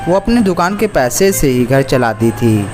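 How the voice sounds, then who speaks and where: A man's voice: medium pitch, sing-song, moderate pace, music in the background. A man narrating a scripted children's story as the storyteller.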